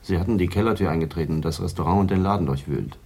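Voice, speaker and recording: An actor playing a calm, plain-spoken witness in a radio crime drama. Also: male voice, low-pitched, very fast, slightly rough. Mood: matter-of-fact. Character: calm male witness helping the police.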